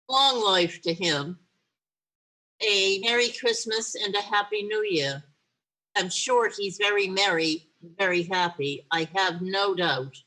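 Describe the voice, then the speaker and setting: Sharp-tongued, loving Victorian mother for a radio play, played by a woman, bright voice, deliberate emphatic pacing. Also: medium pitch, poor audio. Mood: grudging, sarcastic. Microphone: video call.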